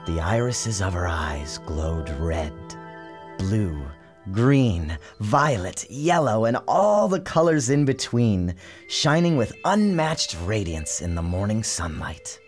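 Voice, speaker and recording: A man giving a measured, theatrical storybook narration in an expressive, dramatic style. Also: fairly low pitch. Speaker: author reading his book aloud. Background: music behind the narration.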